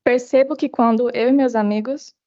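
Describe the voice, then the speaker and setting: A woman's voice: fairly high pitch, steady tone, fast, dark tone. A teenage girl, a native Portuguese speaker, giving testimony as a student in short phrases. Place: committee hearing. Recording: dull, muffled audio.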